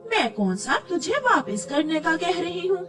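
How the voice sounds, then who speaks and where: Scolding cartoon crow mum played by a woman, sly and dramatic, high voice with wild swings. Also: big pitch swings, measured pace, recorded from a distance, music in the background, heavily acted. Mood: scheming. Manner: sharp-tongued, dramatic. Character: scolding, deceitful crow mother who coddles her son.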